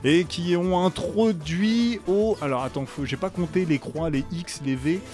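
A man's voice: high voice, unhurried, darkish tone. A man hosting a music radio show from a studio, chatting casually and playfully, rambling with many hesitations. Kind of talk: casual radio chat between songs.

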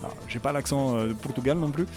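A man's voice: medium pitch, quick pace. A man hosting a music radio show from a studio, chatting casually and playfully, rambling with many hesitations. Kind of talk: casual radio chat between songs.

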